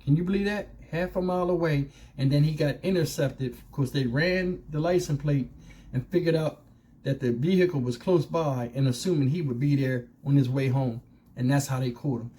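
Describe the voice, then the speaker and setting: A man's voice: a little high, normal speed, mellow. A man giving casual opinion commentary as a channel host, speaking colloquially and conversationally with rhetorical questions.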